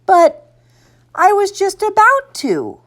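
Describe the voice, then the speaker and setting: A woman voicing a stubborn, pleading young boy in a children's storybook, with melodramatic, drawn-out delivery. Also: very high-pitched, wildly swooping pitch, a bit bright, voice pushed up, exaggerated voice. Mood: pleading protest.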